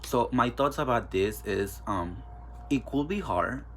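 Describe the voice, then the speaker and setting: A man's voice: medium pitch, lively intonation, unhurried, a little hiss or hum. A young man with a Mexican accent hosting a casual solo podcast, chatty, loose and profane. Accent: Mexican Spanish. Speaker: podcast host.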